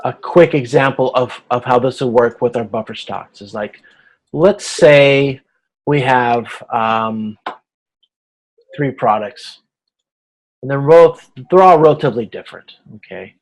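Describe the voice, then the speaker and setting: A man's voice: mid-range voice, a little slow, rough recording. A man teaching an informal online class, explaining step by step in a hesitant way and checking for understanding. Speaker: instructor. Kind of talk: informal video-conference lecture explaining slides.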